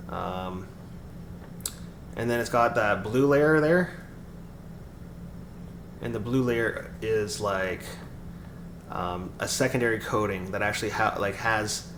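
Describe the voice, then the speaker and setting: A man with a somewhat low, raspy voice talks casually to his stream audience. He rambles with plenty of fillers and self-corrections. The sound is roomy and echoey, with a clear hiss of noise underneath. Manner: rambling, full of fillers and restarts. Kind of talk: casual live-stream chat. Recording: echoey room with background noise.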